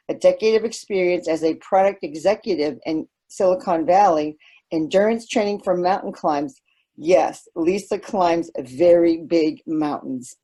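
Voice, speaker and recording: A woman's voice: on the low side, dark tone, husky. A woman hosting an interview show, reading a guest introduction with small stumbles. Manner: scripted, slightly stumbling delivery. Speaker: podcast host.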